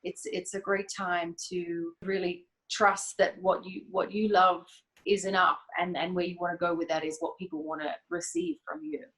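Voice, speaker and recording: A woman answering interview questions as a business founder, measured and reflective, sometimes restarting a phrase. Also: fairly low pitch, expressive, fairly bright tone, rough recording. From Australia. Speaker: guest, event company founder.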